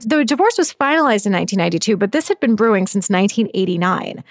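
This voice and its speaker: A woman, a magazine editor, talking in an animated, chatty way as a guest in a news interview. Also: mid-range voice, fast talker, dry recording, studio quality. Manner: animated, chatty, frequent "like" and "I mean".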